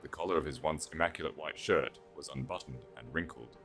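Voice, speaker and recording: A man's voice: a bass voice, brisk, a bit bright, faint music behind. A man reading a dramatic scripted romance as an audiobook narrator.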